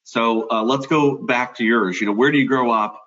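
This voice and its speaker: A man's voice: mid-range voice, fairly even intonation, moderate pace, a bit bright, dull audio. A man hosting a podcast, talking in a chatty, conversational way with fillers. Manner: chatty, friendly introductions with fillers. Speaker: podcast host.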